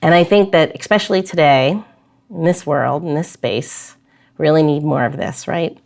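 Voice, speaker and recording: A woman's voice: low-pitched, moderate pace, vocal fry, a little mellow. A woman hosting a podcast in a chatty, informal, joking way. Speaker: podcast host.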